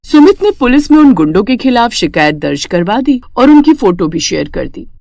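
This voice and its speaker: A woman's voice: a little high, sing-song, normal speed, vocal fry, darkish tone, dry recording, studio quality. A woman narrating a scripted moral story as a storyteller.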